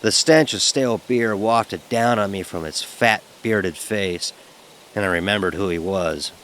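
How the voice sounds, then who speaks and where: A man's voice: on the low side, unhurried, fairly bright tone, a little hiss or hum. An actor playing a frightened, sarcastic young fraternity pledge who narrates his story in a wry, vivid, emotionally charged way. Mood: wry, uneasy. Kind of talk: first-person dramatic horror audio narration. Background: music.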